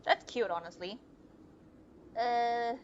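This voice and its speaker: High-voiced woman streaming a game. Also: slow, muffled recording, a little hiss or hum. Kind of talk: casual live gameplay commentary. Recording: loud game music behind.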